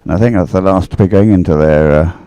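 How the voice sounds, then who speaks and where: A man's voice: low-pitched, fast, dark tone, clean tone, dry recording, dull audio. A man giving unscripted live sports commentary in a hesitant, rambling, conversational way. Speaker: race commentator. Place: outdoors beside the water at a regatta.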